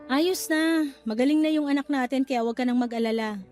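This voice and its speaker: A woman acts as a young mother in a radio drama with a strikingly high voice. She is weary and curt, exasperated by her partner. Faint music runs underneath. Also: brisk, a little mellow, lightly acted. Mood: tired. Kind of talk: Tagalog radio drama acting. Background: music bed.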